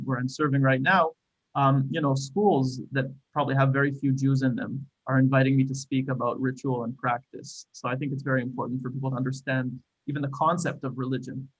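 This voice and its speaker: A man's voice: mid-range voice, little pitch movement, lo-fi sound. A man with an Israeli accent speaking thoughtfully on a discussion panel, with frequent small restarts. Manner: thoughtful, measured with hesitant restarts.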